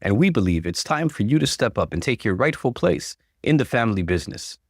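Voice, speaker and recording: A man voicing a stern, insistent father in a story, speaking with forceful authority. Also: medium pitch, sing-song, brisk, gravelly, no echo, clean studio sound. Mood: serious. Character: stern, insistent father pressuring his grown son.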